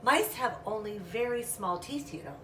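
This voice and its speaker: A woman's voice: a little high, animated, normal speed, roomy sound, far from the mic, background noise, lightly acted. A woman voicing a polite, weary but patient mother mouse in a storybook reading. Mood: tired, patient.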